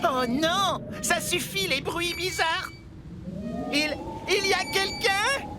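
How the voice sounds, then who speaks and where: Loud music sits behind a small, excitable cartoon vehicle. The voice is high and childlike, drawing its words out slowly with wide-eyed exclamations. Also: female voice. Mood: frightened. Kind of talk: French children's cartoon dub.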